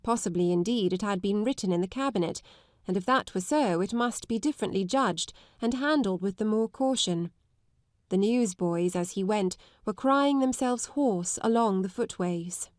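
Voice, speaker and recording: A woman giving a measured, articulate narration of a literary audiobook. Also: mid-range voice, a little mellow, no echo, studio quality.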